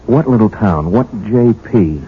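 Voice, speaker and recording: An actor playing an earnest, bashful young patrolman in a 1970s radio drama, hesitant and folksy, with a husky voice. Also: lowish voice, animated, measured pace, full character voice. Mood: coaxing. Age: young adult. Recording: thin, phone-like old radio broadcast.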